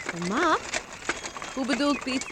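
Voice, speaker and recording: A woman's voice: high voice, very animated, slow, noisy background, full character voice. An actress playing a young farm girl in a Dutch radio play, cheerful, chatty and wistful. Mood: guarded, indignant. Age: young adult.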